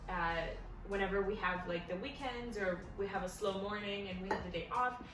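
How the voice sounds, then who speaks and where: A woman's voice: medium pitch, moderate pace. A woman in her early twenties from Egypt and the United States, a native Arabic speaker, chatting informally as an interview guest. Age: early 20s. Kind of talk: casual conversational interview answers. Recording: echoey room, distant mic, music behind. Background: music.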